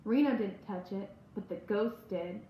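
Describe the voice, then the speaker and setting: A woman's voice: mid-range voice, measured pace, mellow, close to an everyday voice. A woman voicing a creepy yet innocent-seeming little boy in a storybook, childlike and sly. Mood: sinister. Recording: roomy echo, faint background noise.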